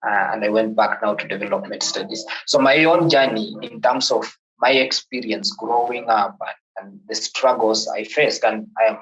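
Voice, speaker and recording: A man's voice: fairly low pitch, normal speed, hardly any pauses, fairly bright tone, dull audio. A man from Kenya, with a Kenyan accent, in his thirties, telling a podcast host his life story in a reflective way and often repeating words as he begins sentences. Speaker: guest.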